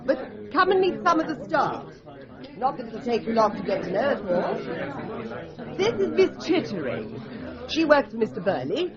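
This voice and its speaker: Brisk secretary, animated intonation. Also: female voice, a little high, highs cut off, a crowd in the background. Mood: brisk, wry. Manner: brisk, dry. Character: brisk, dryly witty female senior secretary. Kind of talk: British radio drama dialogue.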